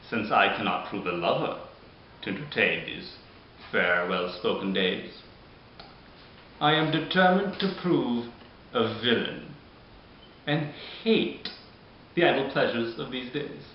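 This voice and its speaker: A man performing a bitter, scheming deformed nobleman in a modern-style Shakespeare monologue, speaking deliberately with dramatic pauses. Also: medium pitch, close to an everyday voice. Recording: dull, very echoey room, background hiss.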